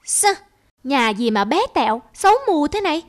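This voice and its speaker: Woman voicing a whiny, high-pitched spoiled boy. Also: very animated, moderate pace. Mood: disdainful. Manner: whiny, disdainful. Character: spoiled, sulky young city boy. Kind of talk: cartoon voice acting.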